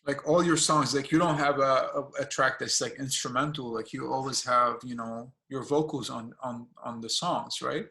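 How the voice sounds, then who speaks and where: A man's voice: mid-range voice, fairly even intonation, moderate pace, vocal fry, few pauses, sharp tone, roomy sound. A man hosting a casual music interview, asking questions hesitantly with many fillers and encouraging his guest. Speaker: radio and blog host.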